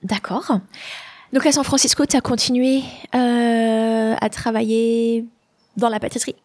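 A woman hosts an interview and asks friendly, curious questions. Her voice is fairly high, and the sound is dry and close with no room echo. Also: level tone, a little slow, a little mellow. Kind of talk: casual interview conversation.

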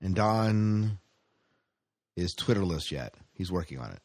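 A man co-hosting a podcast in casual, joking chat, sometimes getting excitable. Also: low-pitched, measured pace, no room sound, studio quality. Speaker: podcast co-host.

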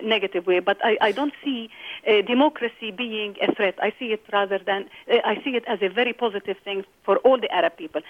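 A woman's voice: mid-range voice, no room sound, muffled recording. A Palestinian woman giving an earnest interview answer, with hesitations and fillers.

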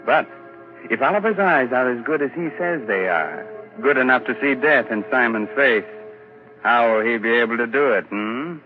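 A man's voice: medium pitch, expressive, normal speed. A man hosting a 1940s radio mystery drama, speaking in a sly, teasing, theatrical way. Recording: muffled old radio broadcast with faint music.

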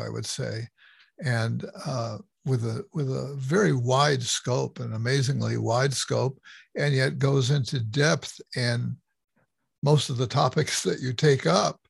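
A man's voice: medium pitch, a little slow, creaky, slightly rough, dry recording. A man hosting an interview, asking questions in a hesitant, appreciative way with many fillers. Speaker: interview host.

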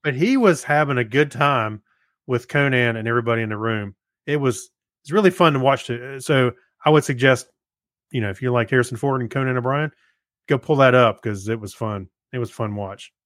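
A man's voice: mid-range voice, a little fast, a bit bright, dry recording, studio quality. A man co-hosting a podcast in casual chat, conversational and given to joking. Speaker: podcast co-host.

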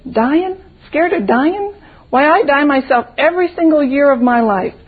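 A woman voicing a small, cheerful storybook flower with a thin, soft voice and a folksy old-time country dialect. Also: a little high, normal speed, husky, phone-quality sound. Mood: amused. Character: small cheerful talking flower, laughing at death.

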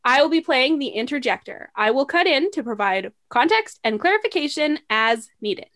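A woman's voice: high-pitched, big pitch swings, normal speed, fairly bright tone. A woman hosting a podcast, delivering scripted narration as the narrator. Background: music.

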